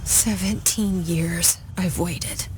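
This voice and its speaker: A woman's voice: on the low side, fairly even intonation, slow, background noise. An actress playing a guarded young woman who heads a secret bureau, terse and intense, often dropping to a whisper in a video game drama. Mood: tense, hurt. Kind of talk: dramatic video game acting with hushed inner monologue.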